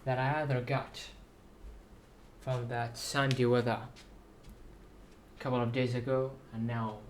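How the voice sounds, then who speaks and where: Man, halting and unhurried, slightly ill with sore throat, rambling vlog delivery, mid-low pitch range not required, light noise behind. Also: level tone. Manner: halting, repeats words. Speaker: writer introducing and reading own story. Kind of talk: slow rambling video monologue.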